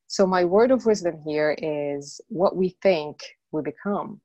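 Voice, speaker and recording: Casting: earnest middle-aged woman from Morocco, low voice, dry close sound, talks through her story with lots of fillers. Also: measured pace, darkish tone, no echo, lo-fi sound. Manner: earnest, frequent "you know" fillers. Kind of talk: interview guest telling her story.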